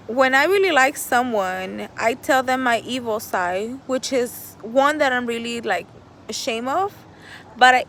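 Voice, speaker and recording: Woman, 30s, high voice, earnest rambling self-help vlog, faint outdoor traffic noise. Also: sharp tone. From El Salvador. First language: Spanish. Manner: earnest, rambling, swears freely, laughs often. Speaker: vlogger. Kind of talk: casual motivational monologue. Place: outdoors in nature.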